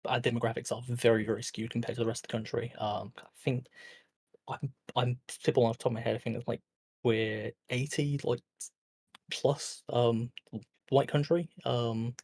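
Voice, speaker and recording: A man's voice: mid-range voice, steady tone, moderate pace, darkish tone, slight background noise. A tired man talking late at night as a guest in a casual online debate, hesitant and full of fillers. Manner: hesitant, filler-heavy, restarts often.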